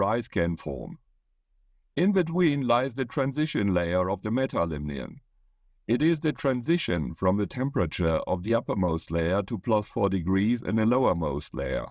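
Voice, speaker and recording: A man's voice: lowish voice, darkish tone, dry recording. A man voicing a knowledgeable, modest young male student who explains things in a textbook manner.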